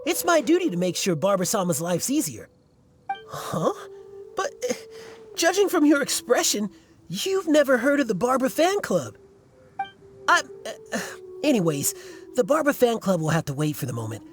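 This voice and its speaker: A man's voice: very high voice, sing-song, moderate pace, long pauses. A man voicing an earnest, fussy, hesitant young fan club leader in a video game. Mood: flustered, worried. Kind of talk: video game character dialogue.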